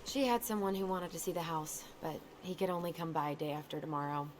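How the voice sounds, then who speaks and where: An actress playing a loyal, exasperated young American sister in naturalistic video game dialogue. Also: female voice, deep voice, brisk, slight background noise, lightly acted. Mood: matter-of-fact. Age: young adult.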